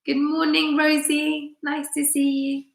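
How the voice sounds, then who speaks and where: A woman's voice: sits high, measured pace, gravelly. A woman who works as a teacher, reading a story aloud on a live stream and chatting expressively with her young listeners. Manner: expressive, asks listeners questions. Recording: roomy, echoey room sound.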